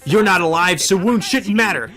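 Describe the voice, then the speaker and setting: Visual novel villain: arrogant young male, high and shrill, scornful, animated delivery. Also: moderate pace, soft background music. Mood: enraged, yelling. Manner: taunting, scornful. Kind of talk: visual novel character dub.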